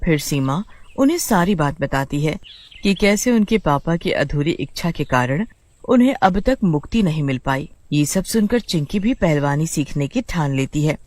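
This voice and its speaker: A woman narrating a cartoon story in a smooth, expressive storytelling manner. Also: sits low, moderate pace, no room sound. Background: faint music.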